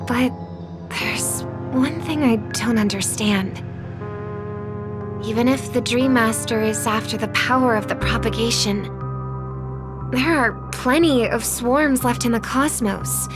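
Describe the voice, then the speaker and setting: A woman playing an earnest, kind young heroine in a video game, speaking gently and sincerely. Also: a little high, slow, music in the background. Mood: sincere, concerned. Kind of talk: dramatic video game voice acting.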